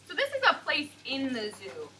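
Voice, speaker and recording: High, bright-voiced woman teacher. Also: moderate pace, distant mic, a little hiss or hum, low-quality recording. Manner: expressive, sing-song storytelling. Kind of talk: classroom read-aloud and questioning. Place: classroom.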